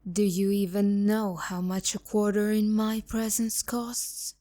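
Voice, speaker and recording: A woman's voice: medium pitch, flat delivery, unhurried, mellow, natural voice. A woman playing a haughty, seductive demon courtesan in a romantic ASMR roleplay, speaking to the listener with condescending, teasing bite. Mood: taunting, smug. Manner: condescending, teasing, biting insults.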